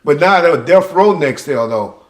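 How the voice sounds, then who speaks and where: An American man hosts a casual interview. His voice sits a little high, and he probes as he talks, setting up questions and pinning down details. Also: expressive, unhurried. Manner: probing, clarifying. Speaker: podcast host.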